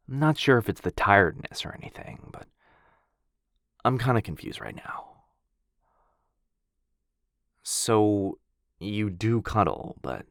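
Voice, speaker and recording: A man playing a shy, sweet, earnest dog-person roommate in an intimate boyfriend ASMR roleplay, speaking softly and hesitantly. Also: deep voice, no echo, studio quality, exaggerated voice. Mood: confused, hurt. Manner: soft, hesitant, halting, bashfully rambling.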